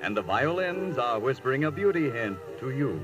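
A man announcing a scripted vintage radio advertisement with smooth, persuasive salesmanship. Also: mid-range voice, big pitch swings, moderate pace, music in the background. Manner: smooth persuasive sales delivery, addressing listeners. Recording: old radio broadcast recording, somewhat distant.

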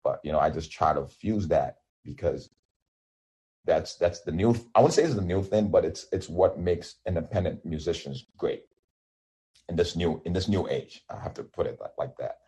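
A man's voice: deep voice, normal speed, mellow, breathy edge. A man in his thirties whose first language is Yoruba, an independent musician talking loosely in an interview with lots of restarts and fillers. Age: 30s. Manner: rambling, many restarts and fillers. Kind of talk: casual interview.

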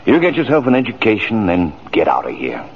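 A patient older small-town father in a vintage radio play. His voice is deep and husky, with gentle, varied inflection, on a muffled old recording. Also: huge pitch swings, fairly quick, exaggerated voice. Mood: gentle, encouraging. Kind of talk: 1940s radio acting.

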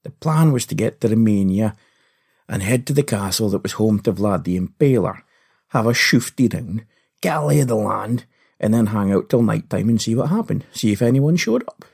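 A man telling an adventure story to children in a playful, animated style with comic understatement. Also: on the low side, moderate pace, creaky, breathy edge. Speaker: podcast host and storyteller.

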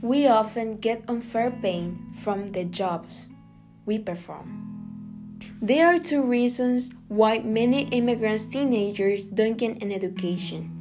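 A woman's voice: fairly high pitch, unhurried. A woman from Guatemala, earnestly reading a scripted spoken piece. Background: guitar music.

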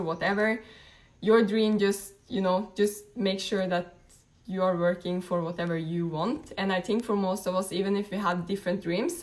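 A woman, talking casually on a live stream as a network marketing team leader, rambling with many fillers and restarts. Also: on the low side. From Norway. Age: late 20s. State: a bit tired, just woken up.

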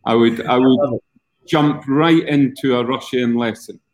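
Scottish male, middle-aged, mid-pitched, wry, casual interview guest. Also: steady tone, a little slow. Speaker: guest, education company chief executive.

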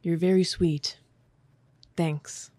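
A man's voice: little pitch movement, extremely slow, darkish tone, no room sound. A man voicing a blunt, sardonic young woman soldier in a raised, dry and mocking tone. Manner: low-key, dry and mocking.

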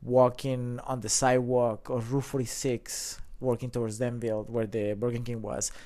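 A man in his thirties from Colombia, a podcast guest telling his story in a loose, animated way with many fillers. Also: medium pitch. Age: 30s. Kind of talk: casual storytelling chat.

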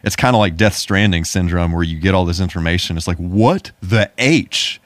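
Guy with a really deep voice just rambling about games on a podcast, into it, no room echo. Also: normal speed. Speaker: podcast co-host. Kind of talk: casual chat about video games.

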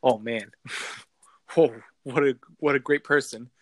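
A woman's voice: a bass voice, slow pace, no room sound. A woman casually recapping a movie on a podcast, laughing often and making sarcastic asides. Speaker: podcast co-host.

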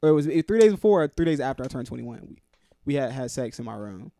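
A man's voice: mid-range voice, big pitch swings, fast, dark tone, no room sound. A man in his twenties chatting animatedly on a podcast, laughing through stories and swearing freely. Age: 20s. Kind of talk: casual podcast chat.

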